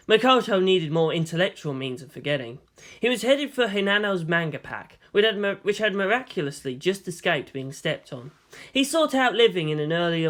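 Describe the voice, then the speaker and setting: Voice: medium pitch, fairly bright tone. A narrator giving a scripted audiobook reading of a fan fiction story.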